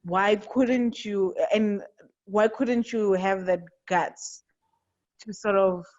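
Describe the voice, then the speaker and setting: Woman host from angola, mid pitch, earnest, kinda muffled call audio. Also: steady tone, takes it slowly, darkish tone. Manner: earnest, searching, with frequent restarts. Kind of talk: conversational interview. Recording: dull lo-fi sound.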